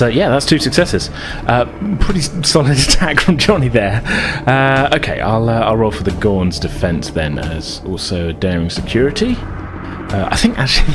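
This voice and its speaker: Low-voiced male game master over music. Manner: hesitant, casual explaining. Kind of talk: narrating and explaining a tabletop game.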